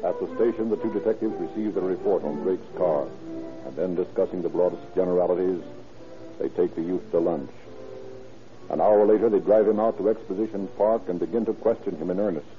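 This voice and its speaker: A man's voice: deep voice, fairly quick. A man giving scripted narration for an old-time radio crime drama. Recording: tinny, noisy vintage radio recording. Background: music.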